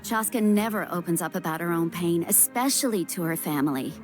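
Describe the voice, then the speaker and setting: A woman's voice: on the low side, a little fast, darkish tone, natural voice. A woman playing a guarded but caring young healer in an anime-style game. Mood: resolved. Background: game music.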